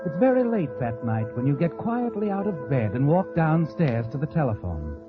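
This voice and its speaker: Spooky old-time radio narrator, highish voice, insinuating and dramatic, dull vintage sound. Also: male voice, big pitch swings, normal speed, over music. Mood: hushed, ominous. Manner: insinuating, dramatic, second-person storytelling. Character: ominous all-knowing mystery narrator addressing the guilty character. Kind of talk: 1940s radio suspense narration.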